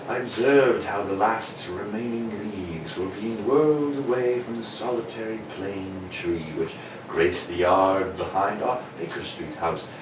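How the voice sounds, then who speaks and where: In a roomy, noisy recording, a man acts out a genial older gentleman doctor from a vintage radio mystery. His lowish voice swings expressively as he reminisces in a chummy, slightly flustered manner. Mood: narrating. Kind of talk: 1940s radio drama read-through. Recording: roomy, distant mic, noisy home recording.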